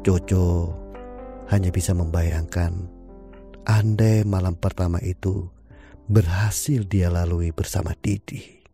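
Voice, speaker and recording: Deep, dark-voiced male storyteller. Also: soft background music. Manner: calm, measured storytelling. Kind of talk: scripted audio drama narration.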